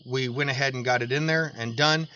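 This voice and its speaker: A man's voice: medium pitch, steady tone, a little fast, pushed and bright, smooth. An American man co-hosting a podcast, telling personal stories in an earnest, rambling way and repeating phrases for emphasis. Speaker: podcast co-host, tradesman. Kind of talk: casual chat and personal anecdotes. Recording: dull lo-fi audio with noticeable background noise.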